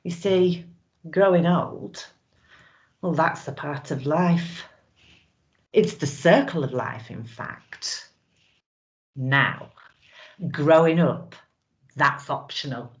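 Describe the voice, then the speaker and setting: A woman playing a brazen, wistful middle-aged widow in a theatrical monologue, knowing and suggestive with drawn-out pauses, on a lo-fi recording. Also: low voice. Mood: wistful, defiant.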